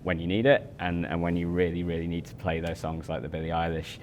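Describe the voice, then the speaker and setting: A man's voice: deep voice, level tone, fast, a little hiss or hum. A man in his forties explaining a product in a hotel demo room, stumbling over repeated words and fillers. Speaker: speaker company representative.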